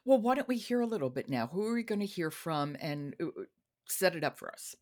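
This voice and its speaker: Low-voiced woman podcast host. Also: big pitch swings, a little fast, darkish tone. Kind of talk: conversational interviewing.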